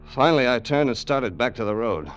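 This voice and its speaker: An actor playing a loyal, eager crime sidekick in an old radio suspense drama, plain-spoken and deferential. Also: male voice, mid-range voice, animated, a little fast, close to an everyday voice. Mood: tense narrating. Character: loyal, eager male crime sidekick telling his story. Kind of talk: 1950s radio acting, first-person narration and dialogue. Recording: vintage radio drama with music bed.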